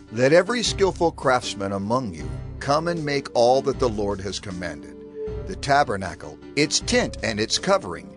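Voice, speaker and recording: An actor playing a male prophet who solemnly instructs his people with deliberate, declamatory phrasing in a dramatized scripture reading. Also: medium pitch.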